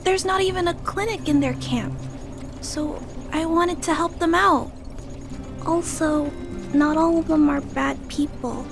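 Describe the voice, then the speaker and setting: A gentle little girl speaks in a high voice with soft, hesitant politeness. She is an earnest video game character, and music plays clearly behind her. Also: unhurried. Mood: hesitant, defensive. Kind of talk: scripted video game cutscene dialogue.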